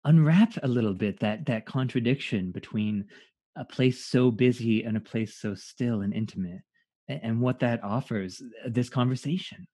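A male interviewer with a mid-pitched voice asks curious, open questions. He sounds encouraging and laughs lightly along with his guest. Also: moderate pace, dark tone. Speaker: interviewer host. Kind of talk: conversational interview.

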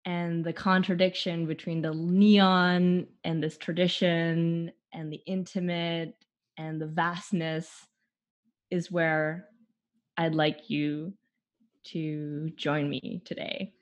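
A Canadian-born woman, a theater artist, speaking slowly and thoughtfully in an interview, often pausing and laughing lightly. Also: on the low side, flat delivery, lo-fi sound. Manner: slow, thoughtful, with frequent laughs and pauses. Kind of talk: conversational interview, opening with a guided imagined scene.

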